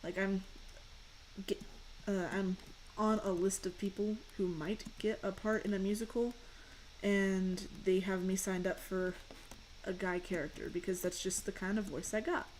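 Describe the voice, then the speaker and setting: Young female streamer, deep voice, rambly chat over gameplay, faint hiss behind. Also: steady tone, measured pace, darkish tone, low-quality recording. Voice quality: fairly deep voice. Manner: chatty, rambling, answers chat questions. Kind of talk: casual chat while gaming.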